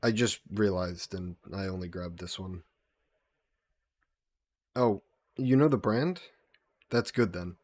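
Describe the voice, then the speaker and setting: A man playing a gentle, guilt-burdened vampire caretaker in an intimate audio roleplay, speaking politely and formally with some hesitation. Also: low-pitched, takes it slowly, pauses often, no room sound. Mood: attentive, caring. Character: gentle, guilt-burdened male vampire employer caring for a guest.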